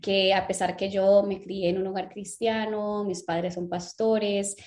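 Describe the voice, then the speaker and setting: Guest, woman in her 30s, from Guatemala; earnest storyteller, mid-pitched, filler-heavy. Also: level tone, a little slow. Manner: warm faith-filled storytelling with frequent "eh" fillers. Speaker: interview guest, lettering teacher and business owner. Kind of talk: casual interview conversation.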